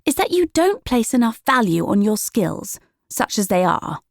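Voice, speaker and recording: A woman's voice: a little high, big pitch swings, normal speed, no echo, studio quality, voice pushed up. A woman voicing a bossy older sister witch in a storybook, challenging and scornful. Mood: condescending.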